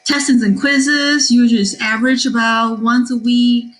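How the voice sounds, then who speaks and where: A middle-aged woman from Taiwan, a math teacher, giving a cheerful class introduction with frequent ums and pauses. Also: on the high side, steady tone. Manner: cheerful, with many fillers and pauses.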